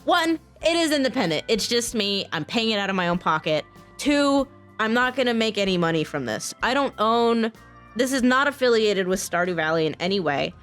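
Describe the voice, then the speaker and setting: A woman talking casually in a vlog announcement, informal and halting, often restarting her sentences. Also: a little high, very animated, a bit bright, soft background music. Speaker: voice actor, software engineer and game modder.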